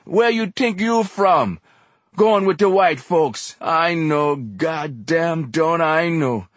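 A man's voice: fairly high pitch, fairly bright tone, no echo, pitched above the performer's own voice. A male audiobook reader voicing a heavyset, furious and anguished street exhorter who pleads passionately with emphatic repetition. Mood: bitter contempt. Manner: impassioned, declamatory, emphatic repetition in dialect. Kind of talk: audiobook character dialogue.

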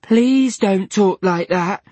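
A man's voice: slow, a little mellow, no room sound. A man doing a fragile, sobbing woman patient. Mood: pleading. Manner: sobbing, pleading, high and wavering.

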